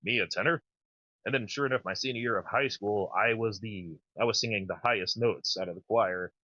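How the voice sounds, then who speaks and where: A man in his thirties with a naturally low voice, chatting casually and enthusiastically as a podcast host. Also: fairly quick, sharp tone, no room sound, highs cut off. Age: 30s. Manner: rambling, enthusiastic. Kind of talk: casual chat.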